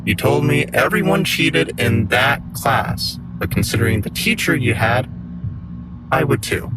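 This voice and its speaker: An actor playing a matter-of-fact, needling android AI companion in an audio drama. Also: male voice, mid-range voice, very animated, measured pace, very noisy. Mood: dry teasing. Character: dry, teasing male AI android companion.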